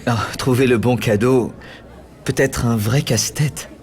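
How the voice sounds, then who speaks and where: A man voicing a polite, mild-mannered young gentleman professor in a video game. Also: medium pitch, fairly even intonation, unhurried, close to an everyday voice. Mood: calm, courteous. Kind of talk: video game cutscene voice acting.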